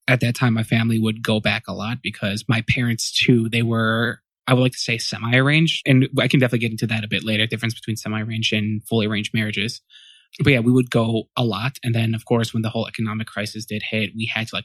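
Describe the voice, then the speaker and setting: A man's voice: lowish voice, steady tone, fast talker, a bit bright, no echo. A man in his twenties, a podcast guest, answering questions in a loose, rambling way, often correcting himself and thinking aloud. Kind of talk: casual interview answers.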